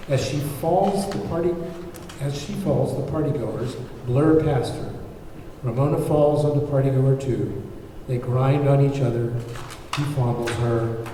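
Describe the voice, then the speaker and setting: A man reading out scene directions as narrator at a screenplay table read. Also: mid-range voice. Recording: very echoey hall, far mic, faint noise.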